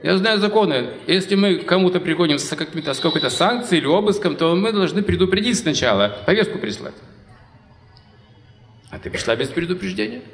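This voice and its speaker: Male storyteller as pedantic, self-assured lawyer lodging objections, gravelly voice, reverberant hall. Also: high-pitched, animated, fairly quick, a little hiss or hum. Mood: argumentative. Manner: argumentative, pedantic. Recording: echoey hall with long reverb.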